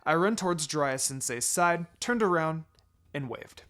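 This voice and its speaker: A man reading a first-person fan fiction story aloud in an expressive narrating style, with music under the voice. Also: a little high, moderate pace.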